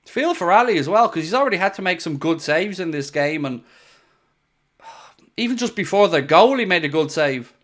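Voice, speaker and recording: A man's voice: high-pitched, expressive, moderate pace, fairly bright tone. Exasperated man ranting through a live match. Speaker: football watchalong host. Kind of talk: live match commentary and reaction.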